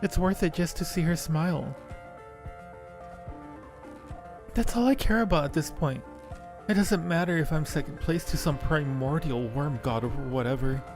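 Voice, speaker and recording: A man's voice: on the high side, moderate pace, voice pushed up, natural voice. A man voicing a lovestruck undead acolyte from a horror game, narrating with earnest, brooding resignation. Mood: fervent devotion. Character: devoted undead cave acolyte, fatalistic and lovestruck. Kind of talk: dramatic reading of game narration. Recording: music behind the voice.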